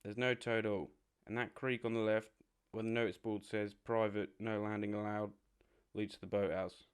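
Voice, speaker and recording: A man voicing a cheerful, confident male water rat who speaks briskly. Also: on the low side, flat delivery, clear, resonant tone, natural voice. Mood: informative.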